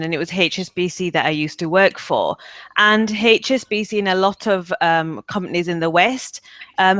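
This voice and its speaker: A British woman's lowish voice sounds dry and close to the mic. She is a guest English trainer on a webinar, and she tells her answers with frequent ums and false starts. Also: a bit bright, clean tone, no echo. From United Kingdom. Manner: hesitant, many ums and restarts. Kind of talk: webinar interview.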